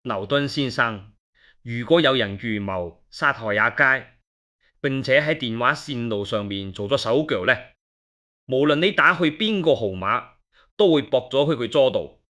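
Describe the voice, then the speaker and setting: A man's voice: medium pitch, expressive, unhurried, pushed and bright. A man acting an inquisitive, level-headed investigator who narrates and speaks in an audio drama. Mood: probing.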